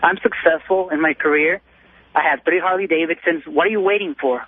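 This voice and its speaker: A man with a high voice phones in to a talk show, giving casual, opinionated advice. The sound is a dry, narrow telephone line. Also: a little fast, no room sound. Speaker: radio show caller, soldier.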